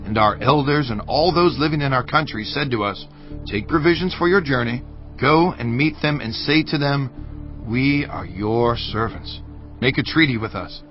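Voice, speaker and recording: An actor playing a weary, humbly pleading envoy in a dramatized audio Bible. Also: male voice, medium pitch, lively intonation, moderate pace, highs cut off, faint music behind, lightly acted. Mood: humble pleading.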